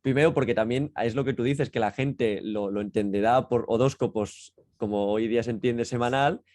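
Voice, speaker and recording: A man's voice: medium pitch, moderate pace, a little mellow, dry recording, studio quality. A man co-hosting a podcast, chatting casually and explaining things, repeating and rephrasing as he goes. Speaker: podcast co-host. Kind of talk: casual conversational podcast talk.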